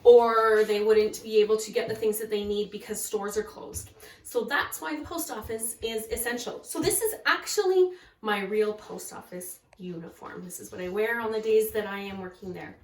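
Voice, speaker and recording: A woman's voice: mid-range voice, moderate pace. A woman kindergarten teacher talking to young children and reading a storybook aloud. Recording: echoey room, far from mic.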